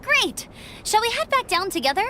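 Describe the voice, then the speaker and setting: A woman playing a perky, eager young female scout in a video game, with a bubbly, brisk and friendly delivery. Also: very high-pitched, sing-song, bright, forceful tone, a little hiss or hum, exaggerated voice. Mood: cheerful, helpful.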